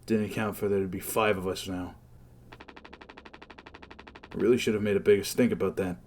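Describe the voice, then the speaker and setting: An actor playing an affable, responsible spaceship captain in a game dub, with a casual, reassuring manner. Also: male voice, low-pitched, flat delivery, normal speed, a little mellow, slight background noise, lightly acted. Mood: musing, concerned. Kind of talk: game fandub voice acting.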